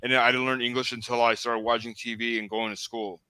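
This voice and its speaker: A man's voice: mid-range voice, little pitch movement, fast talker, sharp tone, smooth, no echo. A man from the United States whose first language was Armenian, hosting a casual interview and talking hesitantly with many fillers. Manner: hesitant, heavy on "you know" fillers. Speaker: host.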